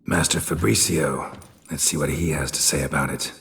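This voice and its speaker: An actor playing a blunt, unruffled monster hunter in a video game, terse and deadpan. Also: male voice, very deep voice, moderate pace, creaky, background noise. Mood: flat, probing. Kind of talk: dramatic video game acting.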